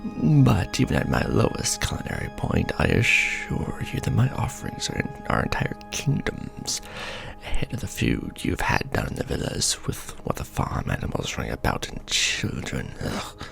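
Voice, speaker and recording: Man acting out a gravelly, theatrical vampire lord. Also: a bass voice, wildly swooping pitch, normal speed, over music, exaggerated voice. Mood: gracious, persuasive, then disdainful. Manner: pompous, theatrical, courtly. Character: ancient, pompous, scheming vampire lord, newly enthroned. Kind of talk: ASMR roleplay, dramatic monologue to the listener.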